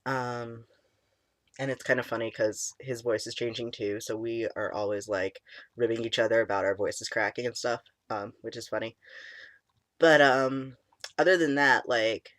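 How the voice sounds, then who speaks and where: A young man in casual chat, his voice cracking and squeaky, deep and stuffy from a cold, rambling with many ums and likes. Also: steady tone, normal speed, fairly bright tone, dry recording. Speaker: vlogger. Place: small room indoors.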